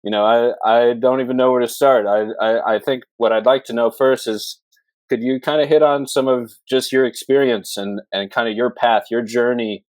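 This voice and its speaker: A man's voice: medium pitch, fairly even intonation, normal speed, darkish tone, no room sound. A man hosting a podcast, talking in a casual, rambling and enthusiastic interview style. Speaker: podcast host. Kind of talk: casual interview with long introduction.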